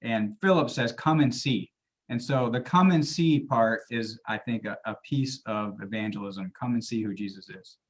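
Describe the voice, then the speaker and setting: A man, a campus minister, speaking in a thoughtful, soft-spoken way that trails off at the end of phrases. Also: mid-range voice, normal speed. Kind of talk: panel discussion.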